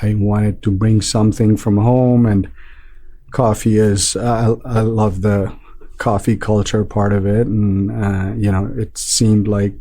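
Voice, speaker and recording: A man from Nepal, a restaurant owner being interviewed, talking in a relaxed way with many fillers and restarts. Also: on the low side, a little slow. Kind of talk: casual interview talk.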